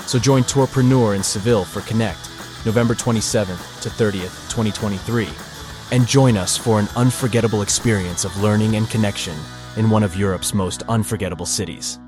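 A man with a fairly low voice reads a scripted promo in a smooth, persuasive way. Clear guitar music plays behind him the whole time. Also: fairly quick. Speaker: ad announcer. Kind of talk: scripted ad read.

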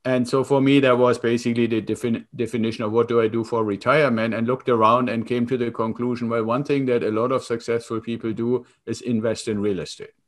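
A man in his fifties from Germany with a German accent, a guest recounting his career in a relaxed, reflective way. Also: mid-range voice, brisk, few pauses. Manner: relaxed, reflective storytelling with frequent fillers. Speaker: guest, consultant and coach. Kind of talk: conversational interview.

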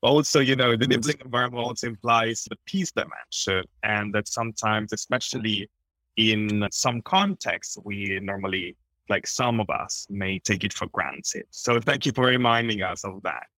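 A man's voice: on the low side, moderate pace, bright, forceful tone, dry recording, rough recording. A man hosting a podcast, interviewing in a conversational style. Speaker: podcast host.

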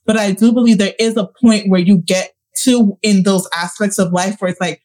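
A woman's voice: medium pitch, fairly even intonation, normal speed, a little mellow. A woman in her late twenties, co-hosting a casual podcast chat, earnest and reflective, talking in long run-on thoughts. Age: 20s. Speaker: podcast co-host.

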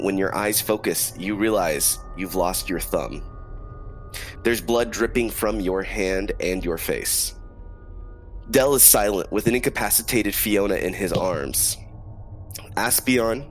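A man with a cold, running a tabletop game as dungeon master and narrating the scene in a slow, solemn way. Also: low-pitched. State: has a cold.

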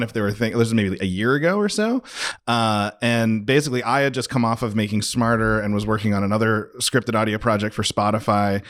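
A man giving a casual, rambling interview answer, often correcting himself and reaching tentatively for his point. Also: on the low side. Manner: rambling, self-correcting, tentative, peppered with "like" and "you know". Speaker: interview guest, writer.

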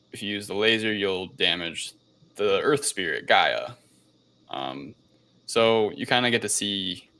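A man's voice: low voice, vocal fry, bright, forceful tone. A man hosting a podcast, recapping a film in casual, loose, informal conversation. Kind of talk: casual film review chat.

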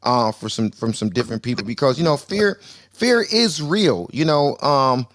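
A man hosting a live call-in talk room in a playful, self-mocking way. His voice sits at an ordinary pitch and sounds dry and close to the mic. He laughs easily between his remarks. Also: big pitch swings, moderate pace, a bit bright, no echo. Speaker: host. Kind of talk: casual live audio-room chat.

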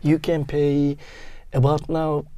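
A man in his fifties from Turkey, speaking English with a Turkish accent, talking casually in an interview in halting, broken phrases. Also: a little high, monotone, mellow, clean tone, no room sound. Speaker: guest, security guard.

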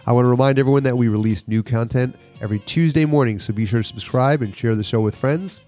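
A man's voice: mid-range voice, fast talker, warm tone, soft background music. A male podcast host with a slight cold and congestion, chatting casually and reading sponsor ads. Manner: loose and chatty, reads sponsor spots. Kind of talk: casual podcast chat with ad reads. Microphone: studio podcast mic, dry sound.

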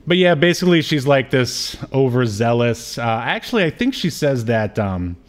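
Male podcast co-host, mid-range pitch, casual review chat, somewhat hesitant and halting when recapping. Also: sing-song.

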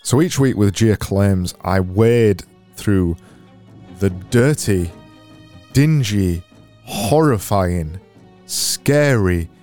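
Deep-voiced Barnsley man, sarcastic podcaster. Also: extremely slow, faint music behind. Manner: sarcastic, deadpan self-mocking. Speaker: podcast host. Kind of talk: solo comedic podcast monologue.

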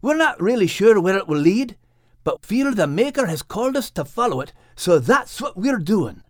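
A plucky lad full of encouragement, as a storyteller voices him, pitched well up and lively in his rises and falls. His speech is hearty and earnest, recorded close and dry. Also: moderate pace, dry recording.

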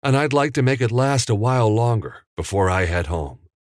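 A man voicing an eager, excited young man who is awed by old Hollywood. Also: mid-range voice, moderate pace, no room sound, studio quality, pitched above the performer's own voice, close to an everyday voice. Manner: eager, excited, breathless with wonder. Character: young man, eager and awestruck movie fan.

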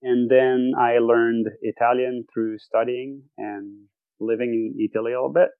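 A man's voice: medium pitch, level tone, normal speed, dry recording, narrow, tinny audio. A man, a podcast guest who talks thoughtfully and searches for words. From Quebec, Canada. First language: French. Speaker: guest athlete. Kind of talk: casual podcast interview. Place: home bedroom.

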